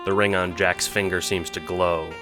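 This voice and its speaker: A man with a low voice narrates an audio drama in a dramatic, scripted storytelling style. Music plays clearly behind him. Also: little pitch movement, normal speed.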